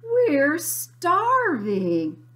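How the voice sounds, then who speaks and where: A woman's voice: high-pitched, huge pitch swings, very slow, fairly bright tone, pitched above the performer's own voice, full character voice. A woman voicing an eager, polite young child in a picture book, with an emphatic manner. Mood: eager, hungry. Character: eager, hungry young brother and sister, polite.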